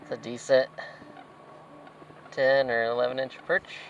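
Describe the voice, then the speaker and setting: A man in his thirties with a Pacific Northwest American accent, hosting a fishing video from a kayak and explaining techniques in a relaxed way with plenty of fillers. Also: mid-range voice, unhurried, highs cut off, noisy background. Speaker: fishing show host. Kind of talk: casual instructional commentary. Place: outdoors on a kayak on a lake. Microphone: action camera mounted on the kayak.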